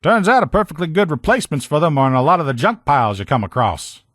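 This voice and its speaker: Male actor as video game army lieutenant, protective comrade, blunt salty slang, fairly high voice, very dry close sound. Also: expressive, fast talker, a bit bright, no echo. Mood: conversational. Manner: blunt, profane soldierly banter with folksy slang. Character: gruff, loyal military lieutenant in power armor, protective comrade. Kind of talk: video game character dialogue lines.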